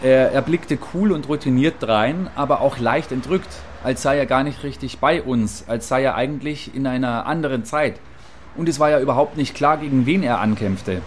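A man's voice: mid-range voice, moderate pace, close to an everyday voice. An opinionated male field reporter in a radio play, acted by a man, with a mid-range voice over faint ambient noise. He muses in a hesitant but insistent way, stubborn and a little provocative.

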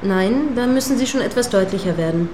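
A stern female news presenter in a radio play, acted by a woman. She has a mid-range voice and speaks in formal, well-articulated sentences. She comes across as prim, combative and sceptical, quick to correct. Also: mid-range voice, expressive, mellow, slight background noise, lightly acted. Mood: indignant, sharp.

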